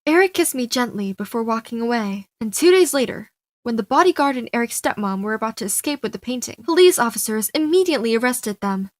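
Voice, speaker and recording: A feisty young female con artist played by a woman tells her story in an animated fan dub. Her voice is high and theatrical, with expressive delivery. The recording is close and dry, with no room sound. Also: fast talker, no room sound, natural voice. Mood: confessional, suspenseful. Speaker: amateur fan dubber. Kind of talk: animated story fan dub, first-person narration with dialogue.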